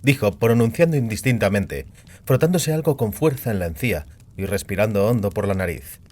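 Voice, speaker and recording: A man narrates a fantasy audiobook in a fairly low voice. His delivery is scripted storytelling, read as a narrator. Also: lively intonation, brisk, a little mellow.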